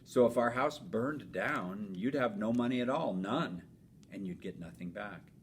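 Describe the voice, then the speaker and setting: A man's voice: expressive, a little slow. A man reading aloud as a patient, reasonable father in a storybook, explaining things with upbeat emphasis. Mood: reasoning. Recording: noisy with low rumble.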